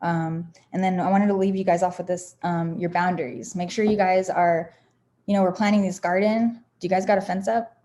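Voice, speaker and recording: A woman's voice: on the low side, fairly even intonation, brisk, warm tone. A woman giving a casual motivational talk about affirmations, candid and rambling, with many fillers and restarts. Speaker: founder sharing self-help affirmations.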